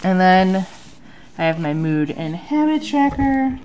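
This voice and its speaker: A woman's voice: on the low side, big pitch swings, slow pace, a little mellow, poor audio. A woman in her thirties with a nasal voice from a sinus infection, chatting casually through her journal at a home desk with lots of ums. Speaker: bullet journal vlogger. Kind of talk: casual chat. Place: home desk under an overhead light.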